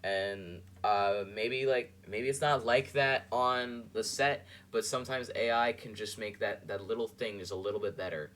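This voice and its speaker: A man talks in a low voice, rambling and halting as he reacts, his sentences full of fillers and restarts. A faint hum sits under the recording. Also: fairly even intonation, fairly bright tone. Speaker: podcast host. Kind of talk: casual commentary over a clip.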